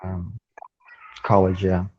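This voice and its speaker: A young Brazilian man, native in Portuguese, speaks English with a Brazilian accent in a low voice. He goes very slowly and hesitantly, hunting for words, over dull lo-fi audio. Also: completely flat delivery, a little mellow, slight background noise. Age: young adult. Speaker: English student. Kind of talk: halting conversation practice.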